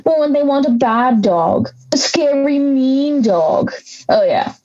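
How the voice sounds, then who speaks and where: A woman's voice: high-pitched, expressive, slow pace, mellow, highs cut off. A woman playing an aggrieved, misunderstood big bad wolf in an audio drama, ranting with sarcastic indignation. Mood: indignant.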